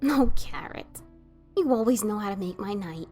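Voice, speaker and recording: A woman's voice: mid-range voice, lively intonation, normal speed, a little mellow, faint music behind, lightly acted. A woman playing an anxious, motherly cartoon pony wife in a fan audio drama, fretful and stubborn. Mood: affectionate.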